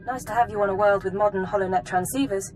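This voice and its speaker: A woman playing a composed female intelligence analyst in a video game, giving briefings in a measured, formal way. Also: medium pitch, fairly even intonation, a little mellow, soft background music, natural voice. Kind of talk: scripted video game acting, mission briefing.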